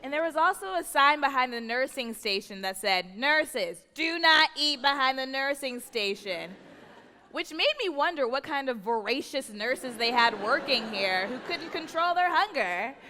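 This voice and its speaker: A woman's voice: sits high, lively intonation, moderate pace. An American woman comedian telling a comic story on stage as a live radio play. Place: large theatre stage. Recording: echoey theatre hall, live stage noise.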